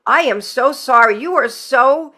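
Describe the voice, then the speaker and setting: A woman's voice: high-pitched, a little slow, background noise. A woman hosting a podcast in casual conversation, chatty and enthusiastic. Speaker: podcast host. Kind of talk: casual chat.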